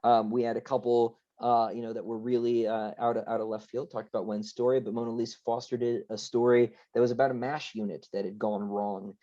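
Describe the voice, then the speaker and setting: A man's voice: medium pitch, level tone, brisk, hardly any pauses, mellow, muffled recording. A man speaking casually as a podcast guest, chatty and often checking in with little tag words. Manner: chatty, tags phrases with "right" and "you know". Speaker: book editor and author, podcast guest. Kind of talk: casual conversational interview.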